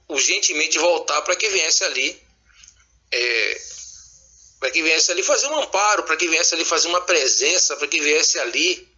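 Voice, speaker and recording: Husky, bright-toned former pastor. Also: male voice, fairly high pitch. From Salvador, Bahia, Brazil. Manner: earnest, reflective, with frequent pauses and restarts. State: slightly congested with a cold. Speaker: former pastor giving testimony. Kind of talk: recorded spoken testimony addressed to a listener. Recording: dull lo-fi voice message.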